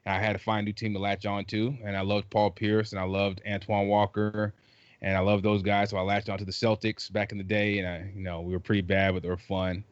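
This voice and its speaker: A middle-aged man from Trinidad, raised in South Carolina, chatting casually as a podcast guest in a jokey, animated way with many restarts. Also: lowish voice, a little fast, clean tone, no room sound, lo-fi sound. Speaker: podcast guest, basketball writer. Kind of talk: casual chat.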